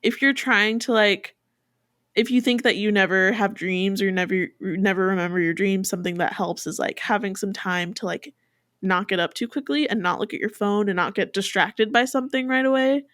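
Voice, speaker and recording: A woman's voice: medium pitch, a little fast, fairly bright tone, no room sound. Chatty young woman, dry close mic. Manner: chatty, many fillers, laughs often. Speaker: podcast co-host. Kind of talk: casual chat.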